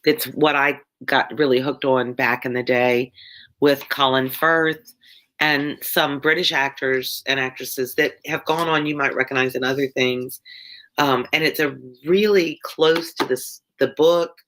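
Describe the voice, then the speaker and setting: A woman's voice: a bass voice, steady tone, measured pace, a bit bright. Wheezy Southern woman reading aloud. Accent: Southern US, Louisiana. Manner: chatty, stops often to explain words and answer chat. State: congested, wheezy chest cough. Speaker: online tutor reading aloud. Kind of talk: casual live-stream read-along with chat.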